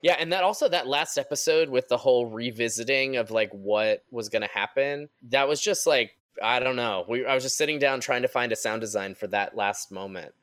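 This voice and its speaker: A man's voice: medium pitch, brisk, few pauses, fairly bright tone, dry recording. A man who works as a podcast sound designer, talking informally and ramblingly in a casual group chat.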